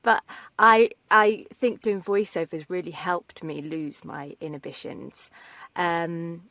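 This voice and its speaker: A woman's voice: lowish voice, steady tone, slow, bright, forceful tone, no room sound. Woman chatting in a dry booth. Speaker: voice actor, cast member interviewed. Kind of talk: casual chat in a video interview. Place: small foam-lined vocal booth.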